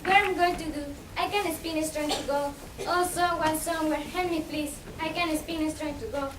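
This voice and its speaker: A woman's voice: high voice, fairly even intonation, normal speed, natural voice. A woman playing a frightened, bewildered young peasant daughter in an amateur play, pleading and repeating herself anxiously. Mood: desperate. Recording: distant echoey room, clear background noise.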